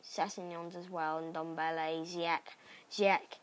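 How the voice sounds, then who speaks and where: A young man from Cardiff with a Cardiff Welsh accent, chatting excitably through gameplay commentary with rambling asides. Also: on the high side, a little slow, a little hiss or hum. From Cardiff, Wales. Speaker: gaming YouTuber.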